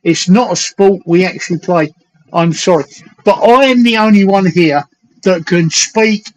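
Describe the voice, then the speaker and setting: A man's voice: high-pitched, unhurried, poor audio. An older man from London's East End with a Cockney accent, hosting a casual livestream chat and hammering his points home with "right?". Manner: emphatic, repeats points with "right?". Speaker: livestream host.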